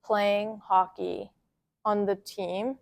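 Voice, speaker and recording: A woman's voice: medium pitch, little pitch movement, extremely slow, vocal fry. A woman interviews a student athlete, her voice plain and conversational. She asks encouraging follow-up questions and repeats his answers back to him.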